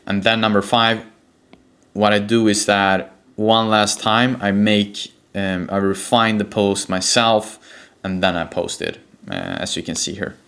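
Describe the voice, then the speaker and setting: A man's voice: fairly low pitch, lively intonation, measured pace, vocal fry, a bit bright. A man from Sweden with a Swedish accent, explaining things informally as an online coach and stressing his key points. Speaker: presenter, personal branding coach for real estate agents. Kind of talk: explanatory talk to camera.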